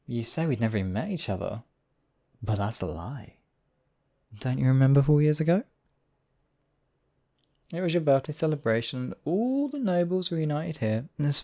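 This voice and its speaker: A man playing a gravelly, possessive demon king. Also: medium pitch, darkish tone, lightly acted. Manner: theatrical, silky, possessive, drawn-out endearments. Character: possessive, smug, obsessive demon king wooing his captive. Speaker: voice actor. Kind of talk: theatrical roleplay monologue, ASMR audio drama.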